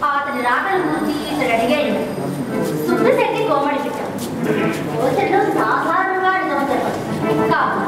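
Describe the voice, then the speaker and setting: A woman playing a proud, indignant young courtesan in a stage play, making sharp, declamatory retorts. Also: high voice. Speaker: stage actor. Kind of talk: Telugu stage play acting. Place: theatre stage. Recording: echoey hall, distant mic, music.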